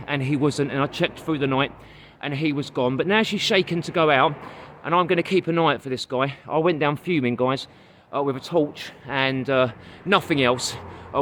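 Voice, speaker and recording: A man with a London accent talks straight to his camera as he walks outside, chatty and full of uh fillers, a little short of breath. His voice sits fairly high for a man. Busy street traffic roars clearly behind him. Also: a bit bright. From London, England. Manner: chatty, addresses viewers as guys, many uh fillers. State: walking, gets out of breath. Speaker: vlogger and comedian. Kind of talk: casual vlog talking to camera. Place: outdoors on a busy city street. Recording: street noise and passing vehicles.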